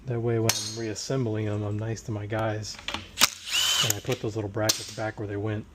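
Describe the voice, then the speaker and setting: A man with a hoarse voice, recovering from tonsillitis, casually talking through a transmission teardown as he works. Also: fairly low pitch, completely flat delivery, normal speed, heavy background noise. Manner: plain-spoken, working while talking. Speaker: transmission rebuilder. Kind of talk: casual shop walkthrough.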